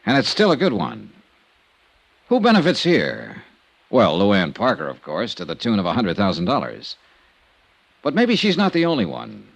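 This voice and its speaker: An American actor playing a hard-boiled, wry insurance investigator in an old time radio detective drama, probing with dry skepticism. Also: male voice, fairly low pitch, wildly swooping pitch, moderate pace, creaky, long pauses, heavily acted. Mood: dry, insistent. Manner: probing, dryly skeptical questioning. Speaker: lead detective and narrator.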